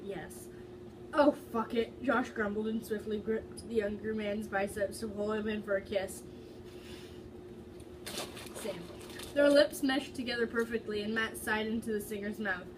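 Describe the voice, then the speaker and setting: A woman's voice: medium pitch, fairly even intonation, normal speed. A young adult woman doing an informal, dramatic amateur story reading, stumbling over the odd word. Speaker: reader of fan fiction. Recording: distant mic with loud background noise.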